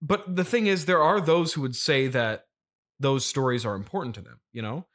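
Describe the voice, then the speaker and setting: A man's voice: medium pitch, moderate pace, a bit bright. A man interviewing in a casual comedy chat, speaking in a hesitant, diplomatic way.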